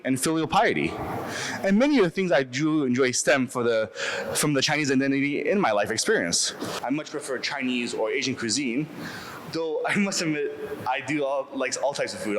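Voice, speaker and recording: Earnest young man, lively measured talk. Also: mid-range voice, a little hiss or hum. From Canada. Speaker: speaker giving a personal talk. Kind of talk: prepared reflective talk.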